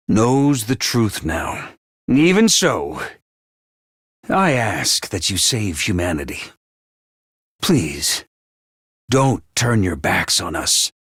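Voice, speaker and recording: A man voicing an excitable, theatrical mascot commander of a military school in a video game, speaking emphatically. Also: medium pitch, no room sound, clean studio sound, heavily acted. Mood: grave, remorseful. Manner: theatrical, emphatic, swinging from grave to bombastic. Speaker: commander addressing students. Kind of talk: animated video game voice acting.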